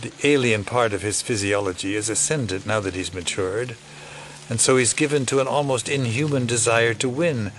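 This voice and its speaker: An actor playing a cynical, world-weary older conspirator, measured, fatalistic and wry, in an audio drama. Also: male voice, medium pitch, fairly bright tone, a little hiss or hum, natural voice.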